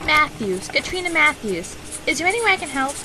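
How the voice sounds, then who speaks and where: Woman as a high-voiced worried mother. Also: big pitch swings, a little fast. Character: worried mother hiring a detective. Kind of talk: amateur radio drama acting. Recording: noisy amateur recording.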